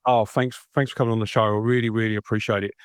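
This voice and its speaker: A man's voice: on the low side, little pitch movement, quick pace, smooth, dry recording. Enthusiastic man hosting. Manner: enthusiastic, effusive. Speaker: podcast and radio host. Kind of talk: friendly interview chat.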